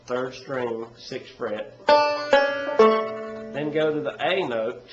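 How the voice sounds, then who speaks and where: A man with a head cold and a stuffy nose, teaching banjo in a relaxed, rambling way with many pauses. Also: mid-range voice, over loud music. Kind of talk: casual instructional talk. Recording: echoey room with dull, lo-fi sound. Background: loud banjo playing.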